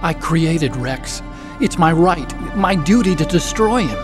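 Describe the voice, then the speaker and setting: An actor playing an earnest, sensitive, nerdy young robotics engineer and guilt-ridden idealist in a video game, with a boyish manner. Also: male voice, on the high side, expressive, slow, over music. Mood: determined. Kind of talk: video game cutscene acting.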